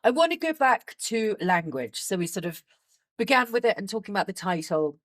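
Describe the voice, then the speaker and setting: A woman's voice: mid-range voice, very animated, fairly quick. Female interviewer, mid-range voice, engaged and encouraging. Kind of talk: interview questions.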